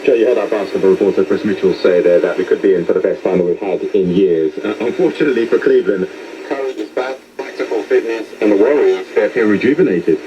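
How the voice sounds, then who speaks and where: A man's voice: fairly low pitch, fast. A man presenting a radio sports programme and putting questions to guests, heard over shortwave. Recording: muffled, noisy shortwave radio broadcast.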